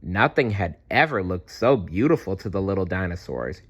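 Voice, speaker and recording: A man reading a children's story aloud in an expressive, deliberate storytelling manner. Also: sits low, slightly rough. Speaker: storybook reader. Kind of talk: children's read-aloud narration.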